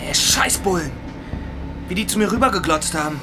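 A man's voice: high-pitched, lively intonation, fairly quick, music in the background. An actor playing a scruffy, cheeky young male rebel in an audio drama, slangy, sweary and cocky. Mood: indignant. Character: scruffy cheeky young rebel commuter cursing cops and trains.

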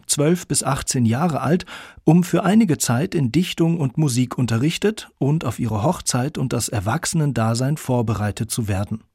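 Guy narrating a radio feature, scripted, creaky husky voice. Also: medium pitch, a little mellow, dry recording, studio quality.

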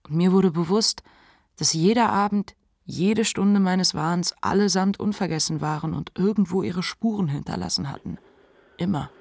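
A woman playing the introspective inner voice of a manic writer in a radio drama, telling memories in a measured way. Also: lowish voice, gravelly, a little mellow, no echo, studio quality. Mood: reflective, uneasy. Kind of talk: literary radio drama narration.

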